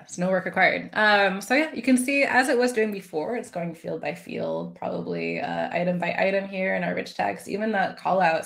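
A woman in her thirties presenting a software demo in a conversational way on a video call. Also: mid-range voice, gravelly. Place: small indoor room on a video call.

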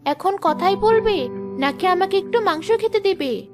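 Cartoon village girl voiced by a woman: youthful, very high voice, pleading manner, clear music bed. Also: expressive, measured pace. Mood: coaxing. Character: young village girl, wary, sweet, easily tempted. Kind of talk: children's cartoon voice acting.

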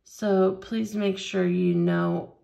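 A woman leading a hands-on beading tutorial at a craft table, explaining each step slowly and patiently. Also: medium pitch, fairly even intonation, gravelly, dark tone. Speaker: craft instructor.

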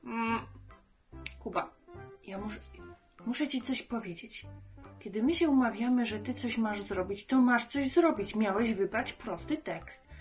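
A woman's voice: a little high, measured pace, faint music behind. A woman in her thirties presenting conversationally to children as a puppet show host. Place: book-lined room indoors.

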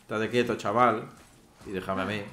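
A man's voice: fairly low pitch, fairly even intonation, moderate pace, pushed and bright. A man giving casual commentary while playing a video game, chatting to his viewers. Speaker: gameplay streamer. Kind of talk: casual let's-play commentary. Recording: roomy with faint noise.

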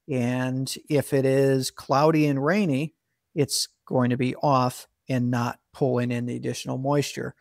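A man in his forties with a gravelly, mid-pitched voice walks through his equipment in a casual, practical way, with a few fillers and restarts. He is recorded close and dry in a garage workshop. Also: dry recording. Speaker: solar equipment channel host. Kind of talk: casual explainer, talking to camera.

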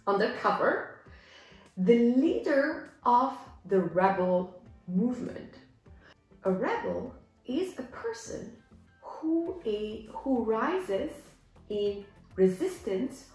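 A young woman teaches English with a lively, swooping intonation and a slow delivery. She reads simple story lines and explains the words deliberately for learners. The room is very echoey around her voice. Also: a little high. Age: young adult. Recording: echoey room, music underneath. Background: background music.

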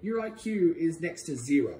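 A casual Australian voice mocks and scolds in lively swings of pitch. It is caught on a phone in a small, echoey bedroom with faint room noise. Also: normal speed. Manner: mocking, bossy, jumps between high and low. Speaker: vlogger filming a family skit. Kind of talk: casual teasing banter. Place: small bedroom with blinds and a gaming desk. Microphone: handheld phone.

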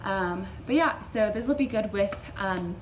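A young woman in her twenties talks through her groceries in a chatty, upbeat way, dropping plenty of "um"s and "like"s. Her voice sits at an ordinary middle pitch. A clear hum of room noise runs under her, picked up by a camera set close on the table at home. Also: animated, measured pace. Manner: chatty, upbeat, lots of fillers. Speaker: vlogger. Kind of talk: casual grocery haul chat. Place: small indoor room at home.

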